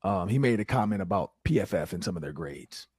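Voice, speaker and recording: Hoarse, husky male sports talk host. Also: sits low, a little fast, no room sound. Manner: animated, energetic opinions.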